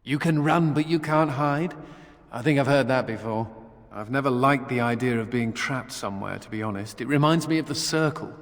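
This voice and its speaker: A man's voice: medium pitch, moderate pace, natural voice. A man playing a wry, flippant young-spirited mage in a video game, sardonic and playful. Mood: wistful, wry. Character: flippant, wry male mage, restless escapee. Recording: reverberant hall with faint music.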